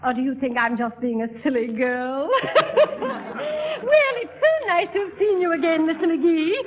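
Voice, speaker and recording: High, fluttery society lady. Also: animated, normal speed, a crowd in the background. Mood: lovestruck, fluttery. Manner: fluttery, giggling, affected genteel. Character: gushing, lovestruck, girlish middle-aged society lady. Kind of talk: 1930s radio comedy acting. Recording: dull vintage 1930s radio recording.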